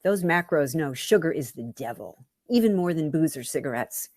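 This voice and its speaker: A woman reading her own first-person story aloud with wry, deliberate, dramatic phrasing. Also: deep voice, expressive, darkish tone, no echo, studio quality.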